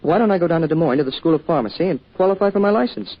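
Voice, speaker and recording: Boyish, fast-talking young American man. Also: on the high side, animated. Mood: bashful, earnest. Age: young adult. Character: eager, boyish, earnest young American son. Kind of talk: old-time radio drama acting. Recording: dull, hissy old radio recording.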